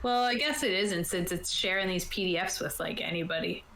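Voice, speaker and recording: A woman's voice: on the low side, fairly quick, fairly bright tone. A woman game master casually explaining tabletop game rules in an informal, conversational way. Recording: roomy, echoey voice sound.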